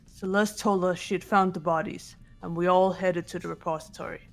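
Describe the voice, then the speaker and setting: A woman's voice: on the low side, steady tone, brisk, gravelly, soft background music. Woman playing a composed, serious girl detective. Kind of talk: dramatic game dialogue and recap narration.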